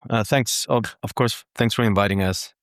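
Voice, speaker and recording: A man from Greece and Cyprus, answering interview questions casually and haltingly as a guest surgeon. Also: lowish voice, no echo, clean studio sound.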